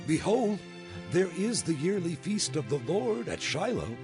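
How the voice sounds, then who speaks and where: A man's voice: high voice, big pitch swings, unhurried, vocal fry, heavily acted. A man playing a grave, weighty elder of ancient Israel in a dramatized scripture production. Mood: scheming. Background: prominent music.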